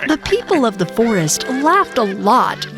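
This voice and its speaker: A woman's voice: on the high side, very animated, measured pace. A woman narrating a scripted children's fairy tale as a storyteller. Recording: music behind the voice.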